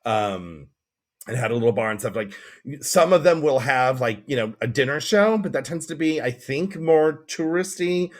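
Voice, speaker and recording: A man's voice: mid-range voice, lively intonation, moderate pace. A middle-aged man co-hosting a podcast, chatting casually in a jokey, anecdotal way. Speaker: podcast co-host. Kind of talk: casual conversational podcast chat.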